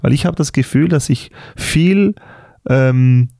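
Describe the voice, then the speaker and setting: A man's voice: medium pitch, slow, gravelly, warm tone, no room sound. Young guy podcast cohost, lots of uh and umm, laughs a lot, dry close mic. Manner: hesitant, many filler sounds, laughs often. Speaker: podcast co-host. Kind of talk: casual chat.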